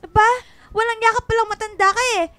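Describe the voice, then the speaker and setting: A young Filipina sidekick in a radio drama, with a very high voice. She is vain, loyal and blunt, full of teasing exclamations. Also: level tone, fast, bright, forceful tone, no room sound, soft background music. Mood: outraged. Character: sassy, vain, fiercely loyal young woman best friend.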